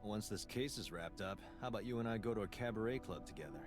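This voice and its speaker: A man performs a wry, easygoing police detective for a video game. His voice sits low and he delivers lines dryly. Music plays underneath. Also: lively intonation, fast, lightly acted. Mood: dry, casual. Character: gruff, wry middle-aged male police detective. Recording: game audio with music behind.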